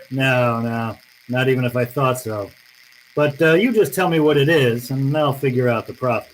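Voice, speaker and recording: Male radio detective, actor-played: tough, dry, unflappable, mid-pitched, clipped wit, faint noise behind. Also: normal speed, natural voice, low-quality recording. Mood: casual, probing. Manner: laconic, sardonic. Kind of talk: old-time radio drama acting and first-person narration.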